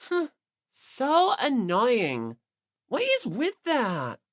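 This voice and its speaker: Man putting on a high, sneering teen girl. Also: huge pitch swings, very slow, a bit bright, heavily acted. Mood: scornful. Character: dismissive, mocking, easily annoyed teenage girl. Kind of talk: audiobook character voice.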